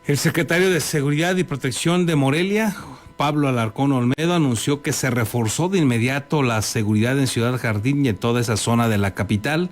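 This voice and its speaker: A man's voice: mid-range voice, fairly quick. A man with a Mexican accent presenting scripted news as an anchor.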